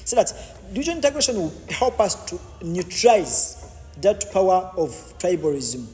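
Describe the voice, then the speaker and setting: Man, high pitched, halting and repetitive, long hall echo. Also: big pitch swings, dark tone. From Uganda. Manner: repetitive, many false starts and restarts. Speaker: podcast guest. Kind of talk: explanatory interview answers.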